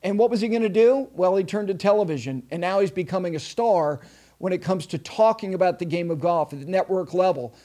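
A man hosting an interview show, introducing his guest with emphasis and asking questions with halting restarts. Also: sits high, animated, mellow. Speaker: podcast host.

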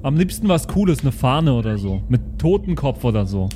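Male tabletop player, average pitch, casual improvised banter, slight noise and ambience around the voice. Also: very animated, a little fast, mellow. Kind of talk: casual improvised role-play chat. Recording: background noise and room ambience.

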